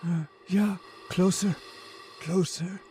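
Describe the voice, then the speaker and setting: A woman's voice: fairly even intonation, slow, mellow, slight background noise. A woman playing a simple, nervous, giggly man who stammers and yields meekly, in old-time radio horror style. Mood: dazed, obedient. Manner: stammering, giggly, swooping between high and low.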